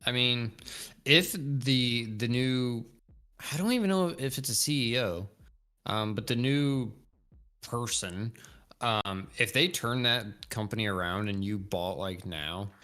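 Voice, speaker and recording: A man's voice: medium pitch, slow, bright, forceful tone. A man in his thirties chatting casually on a livestream, rambling and laughing easily. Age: 30s. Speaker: co-host.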